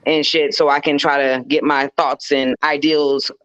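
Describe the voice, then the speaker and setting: An American woman with a deep voice, talking bluntly and swearing freely in a casual panel discussion. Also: little pitch movement, moderate pace, a bit bright, dry recording. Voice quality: deep, bassy. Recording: slightly dull, lo-fi, dry sound.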